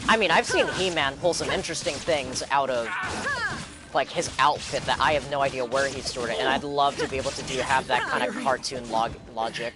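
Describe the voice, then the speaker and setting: A man's voice: mid-range voice, fairly quick. A man livestreaming a game, chatting casually and reacting in an informal, rambling way. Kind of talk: casual gameplay reaction chat. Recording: game music under voice.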